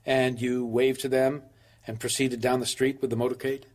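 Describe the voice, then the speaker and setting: A man playing a methodical commission lawyer who questions a witness formally and deliberately in an audio drama. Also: mid-range voice, little pitch movement, lightly acted. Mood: formal, probing.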